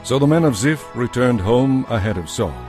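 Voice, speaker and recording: Guy narrating a dramatized audio bible, medium voice, soft music behind him. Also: medium pitch, expressive, moderate pace.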